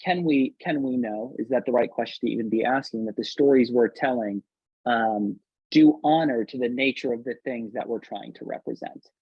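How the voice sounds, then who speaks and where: Husky, halting man on muffled audio. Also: medium pitch, dry recording. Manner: halting, repeats words while thinking aloud. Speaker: panel moderator. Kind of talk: thoughtful discussion.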